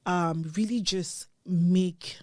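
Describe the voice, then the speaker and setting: A young adult woman from Nigeria, a guest telling her life story in an animated, chatty way. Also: fairly low pitch, very slow, no echo, clean studio sound. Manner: animated, storytelling, laughs often. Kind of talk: casual interview chat.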